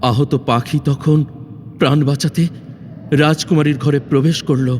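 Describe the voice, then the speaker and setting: A man playing an earnest, resolute young fairy-tale prince in an audio drama, speaking formally with deliberate, dramatic phrasing. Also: a little high, fairly even intonation, a little mellow, natural voice. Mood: grave, narrating. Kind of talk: Bengali audio drama acting. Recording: long reverb, faint background noise.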